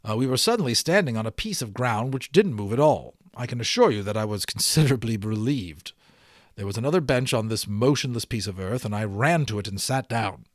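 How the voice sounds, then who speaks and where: A man reading a story aloud as an audiobook, expressive and given to dramatic emphasis. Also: mid-range voice, brisk, no echo, clean studio sound. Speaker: audiobook reader. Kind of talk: animated read-aloud storytelling.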